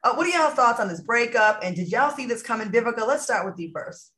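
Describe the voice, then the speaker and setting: A woman with a raspy, dusty voice, hosting a casual panel talk show and moving the discussion along. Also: on the high side, animated, quick pace, a bit bright.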